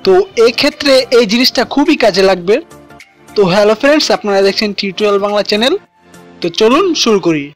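Man, high voice, instructional tutorial narration, music in the background. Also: lively intonation, quick pace. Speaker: tech tutorial host. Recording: voice-over with music behind.

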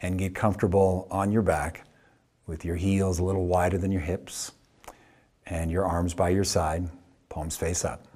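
A man with a husky voice leading a guided meditation as a yoga teacher, speaking calmly in short, unhurried phrases with long pauses. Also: low-pitched.

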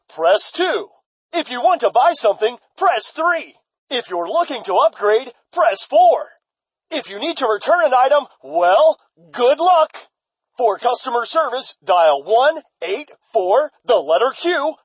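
A woman as a husky, lilting phone menu. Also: fairly high pitch, big pitch swings, measured pace, dry recording, full character voice. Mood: brightly unhelpful. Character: unhelpful automated customer phone menu voice. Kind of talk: recorded phone menu parody.

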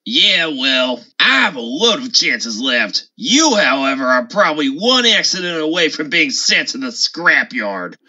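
A cocky young engine, played by a man, talks back in a highish, piercingly bright voice with some rasp. He is brash and defiant, on a slightly dull recording. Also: very animated, unhurried, few pauses, exaggerated voice.

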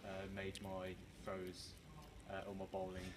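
Flat low-voiced young man, far off. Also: a little slow. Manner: hesitant, with many "uh" and "um". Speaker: student doing self-assessment. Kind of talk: self-assessment. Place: indoor sports hall. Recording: distant camera audio, clear hall noise.

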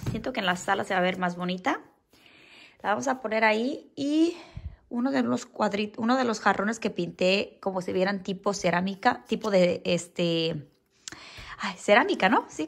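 A woman's voice: medium pitch, gravelly. A woman chatting casually at home as she shows her home decorating, using lots of diminutives and fillers. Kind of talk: casual how-to chat. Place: at home indoors.

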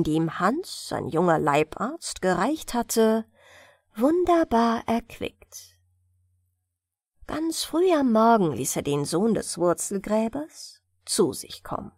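Woman reading bedtime fairy tales, slow and expressive, really dry close sound. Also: mid-range voice, dry recording. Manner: expressive, measured storytelling. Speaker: audiobook narrator. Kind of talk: scripted fairy-tale narration, bedtime storytelling.

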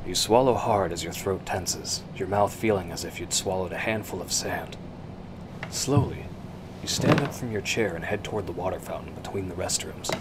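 A man narrating a suspense radio drama in the second person, with measured, hushed storytelling. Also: deep voice, heavy background noise, lightly acted.

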